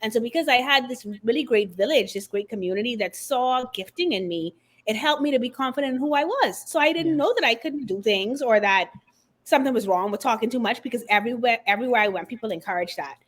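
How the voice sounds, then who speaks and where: A middle-aged woman from the Bahamas, a guest author and confidence coach, telling her story in an expressive, animated way during an interview. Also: on the high side, fast. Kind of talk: conversational interview.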